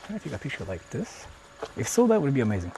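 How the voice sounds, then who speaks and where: A man's voice: medium pitch, wildly swooping pitch, fast, darkish tone, slight background noise, rough recording. Chatty young man streaming outdoors. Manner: chatty, talks to viewers, frequent swearing and fillers. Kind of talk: casual live-stream vlogging. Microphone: phone held while streaming.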